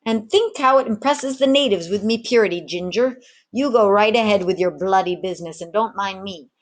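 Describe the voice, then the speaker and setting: A wily old sea dog turned tavern keeper in a storybook, put on by a woman. He talks in folksy, mock-pious sailor slang, pitched up from the reader's normal voice. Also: brisk, natural voice.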